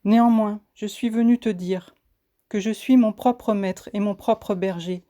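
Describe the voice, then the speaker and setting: A woman reciting spoken-word poetry in a solemn, declamatory manner, phrasing each line deliberately. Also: medium pitch, steady tone, warm tone. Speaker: poet reciting own poem.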